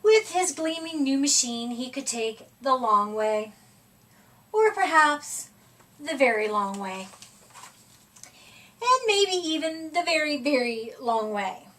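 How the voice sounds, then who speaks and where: High-voiced, unhurried woman reading a storybook. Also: expressive, a bit bright, far from the mic. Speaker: storybook reader. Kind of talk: expressive read-aloud of a children's picture book.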